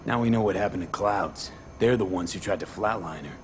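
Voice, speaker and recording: An actor playing a laconic, cool-headed streetwise cyberpunk mercenary in a video game, speaking casually and matter-of-factly. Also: male voice, sits low, a little fast, a little hiss or hum, lightly acted. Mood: cool, pondering. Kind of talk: video game dramatic acting.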